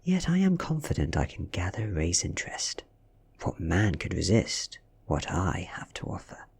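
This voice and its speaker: Breathy, low British man reading softly. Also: huge pitch swings, moderate pace. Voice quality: breathy, often slipping into a whisper. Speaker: ASMR reader. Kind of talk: soft-spoken ASMR audiobook reading.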